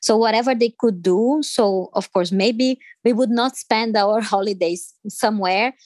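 A woman from Brazil with a Brazilian Portuguese accent, answering interview questions in English with many pauses, fillers and restarts. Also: medium pitch, a little mellow, no room sound. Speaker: interview guest.

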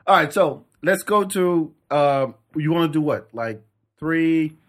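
Man, somewhat high pitch, emphatic, opinionated, teasing, casual podcast talk. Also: expressive, unhurried, a bit bright.

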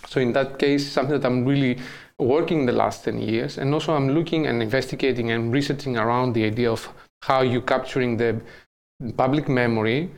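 A middle-aged man from Greece, a native Greek speaker, answering interview questions as an artist in a thoughtful, halting way with many fillers. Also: mid-range voice, steady tone. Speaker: artist guest. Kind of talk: interview conversation.

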